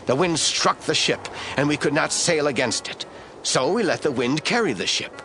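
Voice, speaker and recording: A man narrating an audio drama in a measured storytelling manner. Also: medium pitch. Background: soft music score.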